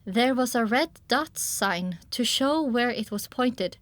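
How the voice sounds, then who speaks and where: A woman's voice: a little high, expressive, slow pace, dry recording. A woman reading scripted first-person story narration with a descriptive storytelling delivery. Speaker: audiobook narrator.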